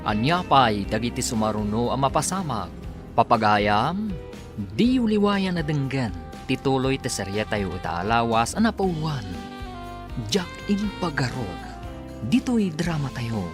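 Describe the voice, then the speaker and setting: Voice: mid-range voice, big pitch swings, measured pace. An announcer with an Ilocano accent narrating a scripted radio drama serial, with music behind the voice. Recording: music under the voice.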